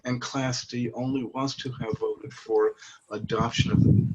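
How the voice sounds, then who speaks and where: A man's voice: mid-range voice, flat delivery, a little slow, muffled recording, heavy background noise. A man with a Brooklyn accent chairing a meeting on a video call, genial and formal. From New York.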